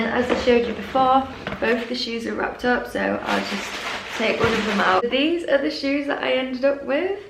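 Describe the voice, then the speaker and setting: A young woman talks through an unboxing with chatty enthusiasm. Her voice is croaky and gravelly, as it is early in the morning. The sound has a roomy echo from her living room. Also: a little high. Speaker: fashion vlogger. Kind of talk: casual unboxing vlog.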